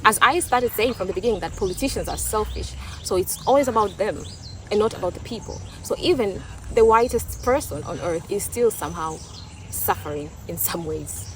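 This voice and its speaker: A woman's voice: mid-range voice, animated, fairly quick, low-quality recording. Tanzanian woman vlogging in english, swahili first language, casual and reflective, some faint rural noise behind her. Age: young adult. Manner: thoughtful, restarts and repeats words. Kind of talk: casual opinion talk.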